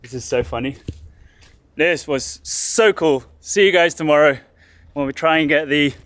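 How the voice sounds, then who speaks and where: A man's voice: fairly high pitch, normal speed, a little hiss or hum. A man in his thirties casually narrating a boat restoration vlog, filming himself with a handheld camera outdoors in a boatyard. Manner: chatty, excitable, thinks aloud. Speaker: vlogger restoring a sailboat. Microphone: action camera held at arm's length.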